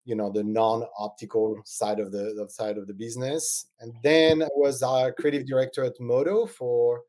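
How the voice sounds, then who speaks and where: A man's voice: medium pitch, steady tone, normal speed, warm tone. A middle-aged man from France with a French accent, a podcast guest talking in a rambling, hesitant way with many ums. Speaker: guest, eyewear designer. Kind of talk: casual interview chat.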